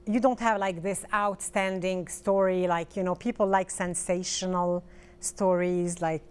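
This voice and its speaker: Soft-spoken middle-aged woman from Lebanon. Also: on the low side, steady tone, measured pace, clear, resonant tone. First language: French. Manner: hesitant, restarts often, fillers. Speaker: author, interview guest. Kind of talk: casual interview chat.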